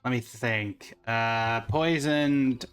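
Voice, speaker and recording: A man's voice: medium pitch, very slow, a bit bright. A man giving casual game reaction commentary as a host, in an informal, rambling, offhand manner.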